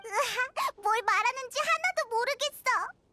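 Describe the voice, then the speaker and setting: A woman voicing a tiny, chatty, blunt childlike fairy companion with a squeaky voice in an anime game. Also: measured pace, slight background noise, heavily acted. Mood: puzzled, grumbling. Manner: expressive, grumbling, blunt. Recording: music faintly behind the voice.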